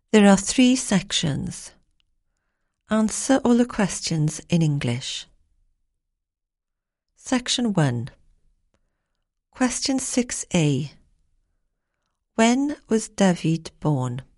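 Brief: female exam announcer, very slow, lively intonation, dry close sound. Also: medium pitch, no echo. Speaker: exam instructions reader. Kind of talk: reading test instructions.

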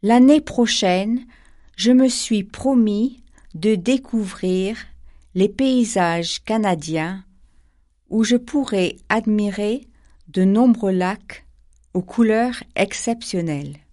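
A woman reading a first-person exam passage slowly and with clear articulation. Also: medium pitch, dark tone. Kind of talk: scripted first-person narration.

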